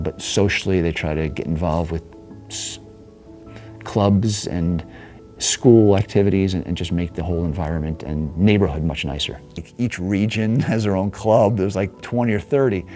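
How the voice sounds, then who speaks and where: A man's voice: low voice, moderate pace, mellow, faint music behind. A man being interviewed, telling a long, rambling story about his neighborhood's history in a casual way. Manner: rambling, restarts, chuckles.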